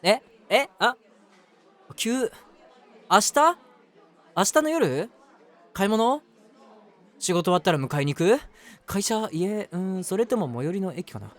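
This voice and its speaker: A man's voice: high voice, very animated, takes it slowly, pauses often, a bit bright, some chatter behind, voice pushed up, full character voice. A man voicing an eager, easily flustered young man in love, chatty and self-deprecating, in a romantic audio drama. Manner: chatty, self-deprecating, earnest, hesitant asides. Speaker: voice actor.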